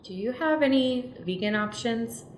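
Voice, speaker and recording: A woman's voice: fairly high pitch, unhurried, a little hiss or hum, lightly acted. A woman playing an earnest, spiritual, gushing friend in an improvised comedic radio play, with a hesitant way of talking. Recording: roomy, echoing space.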